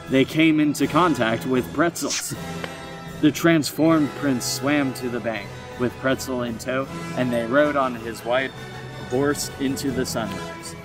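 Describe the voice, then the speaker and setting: Man reading a story, slightly distant. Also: mid-range voice. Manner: dramatic delivery, stumbles and self-corrects. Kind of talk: reading a comic short story aloud with informal asides.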